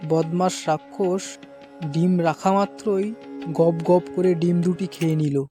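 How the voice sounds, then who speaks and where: A man's voice: high voice, fairly even intonation, unhurried, dark tone, soft background music. A man narrating a scripted children's cartoon story as its storyteller.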